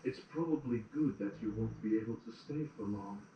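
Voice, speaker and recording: A man's voice: on the low side, fairly quick, recorded from a distance, highs cut off, a little hiss or hum, lightly acted. A man as a smug changeling in heavy echo. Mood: mock polite. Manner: falsely polite, condescending. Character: smug, mocking male changeling colony dweller. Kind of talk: fan audio drama acting.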